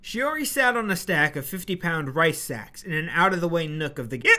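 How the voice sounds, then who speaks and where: Very bright-voiced young woman with hiccups. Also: low voice, expressive, fairly quick. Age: young adult. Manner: rambling, self-correcting, mildly exasperated. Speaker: audio drama narrator and college student. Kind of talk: blooper outtakes, scripted narration with chatty asides.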